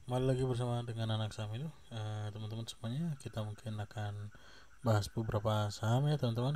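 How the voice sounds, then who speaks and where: A man hosting a stock channel, casually walking through charts with many fillers and a slight cough. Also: fairly low pitch. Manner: relaxed, many fillers and tag questions. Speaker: stock market channel host.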